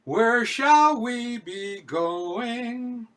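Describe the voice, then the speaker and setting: A man's voice: extremely high pitch, animated, drawn-out words, a bit bright. A man in a workshop over a video call, musing casually as he reads his lyric lines. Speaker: workshop participant.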